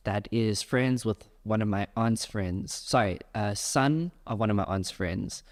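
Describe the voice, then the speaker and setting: A man's voice: lowish voice, unhurried. A young man from South Africa hosting a casual podcast interview, explaining his ideas at length. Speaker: podcast host.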